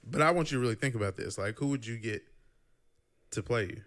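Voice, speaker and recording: A man's voice: medium pitch, fast talker. A young man hosting a casual podcast, teasing his guest and steering the talk. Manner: teasing, relaxed, steers the topics. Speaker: podcast host. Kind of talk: casual chat.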